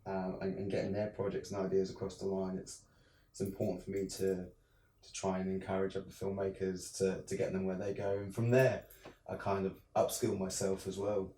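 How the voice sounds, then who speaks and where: A man's voice: deep voice, brisk, echoey room, distant mic, slight background noise. A young English man from the south of England with a southern English accent, chatting casually in an interview with lots of ums and restarts. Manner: relaxed, many ums and restarts. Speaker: interview guest, filmmaker.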